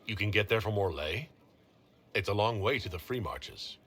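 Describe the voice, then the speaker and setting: An actor playing a composed, commanding leader in a fantasy video game, speaking in a measured, authoritative way. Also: male voice, lowish voice, expressive, a bit bright, slight background noise. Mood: questioning, resolute.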